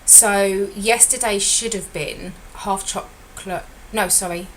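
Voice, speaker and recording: A middle-aged woman with a slightly croaky voice, giving a chatty, rambling diet vlog update as a weight loss consultant and often correcting herself. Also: medium pitch, steady tone, takes it slowly, a bit bright, a little hiss or hum, low-quality recording.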